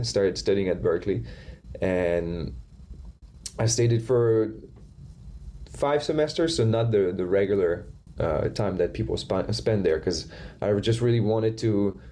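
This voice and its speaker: A man's voice: fairly low pitch, lively intonation, measured pace, mellow. A young man from southeastern France with a slight French accent, answering interview questions thoughtfully with frequent restarts and fillers. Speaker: guest drummer.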